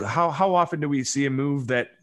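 A man's voice: medium pitch, brisk. A man hosting a casual podcast chat, rambling and self-deprecating, swearing freely. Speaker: podcast host.

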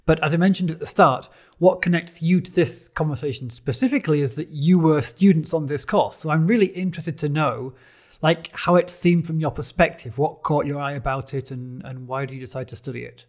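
A man hosting a podcast interview, asking questions in a relaxed, lightly joking way. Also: on the high side, a little fast. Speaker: podcast host.

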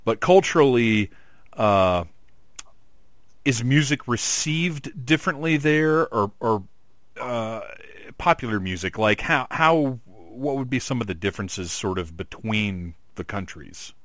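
A man's voice: mid-range voice, animated, measured pace, fairly bright tone, no echo, studio quality. A man interviewing a guest in a casual way, restarting and searching for words as he asks questions. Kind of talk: conversational interview.

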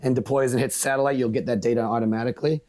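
Fast-talking man in casual interview. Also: medium pitch, steady tone, a little mellow. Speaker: podcast guest, project member. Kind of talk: casual explanatory interview talk.

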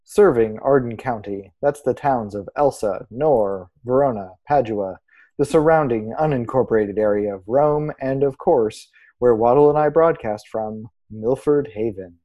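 A man playing an earnest small-town arts radio co-host, presenting in a deadpan, formal, mock-serious scripted style. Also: mid-range voice, unhurried, muffled recording, close to an everyday voice. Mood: earnest, announcing.

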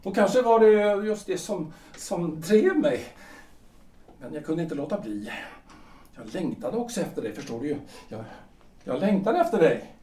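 An actor playing an anguished, brooding husband in his forties in a period audio drama, halting and repeating his phrases with theatrical emotion. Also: on the high side, sing-song, dark tone. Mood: insistent, yearning. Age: 40s. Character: anguished middle-aged husband, brooding, insistent, self-doubting. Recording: roomy, distant from microphone.

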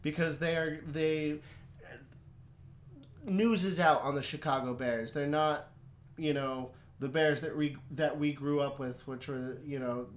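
A man co-hosting a casual sports talk show, speaking loudly and emphatically. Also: on the high side, steady tone, a little slow. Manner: loud, emphatic. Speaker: podcast co-host.

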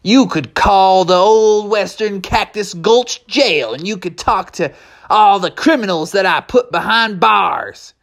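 Man acting cowboy horse, slow Southwestern drawl, raised pitch, folksy, tough, self-assured, storybook character. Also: fairly bright tone. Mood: matter-of-fact. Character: folksy cowboy horse, tough ex-sheriff turned town cleaner.

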